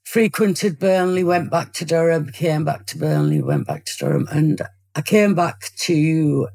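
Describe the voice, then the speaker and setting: A speaker in their fifties with a Yorkshire accent, chatting in a lively way as a podcast guest, often restarting sentences. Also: medium pitch, unhurried. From Yorkshire, England. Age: 50s. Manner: lively, talkative, hesitant restarts. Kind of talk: casual chat.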